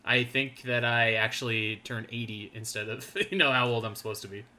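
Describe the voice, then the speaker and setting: A man's voice: medium pitch, steady tone, brisk, pushed and bright. A man in his thirties co-hosting a gaming podcast, talking in a rambling, laid-back way with lots of fillers. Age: 30s. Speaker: podcast co-host. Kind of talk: casual gaming podcast chat.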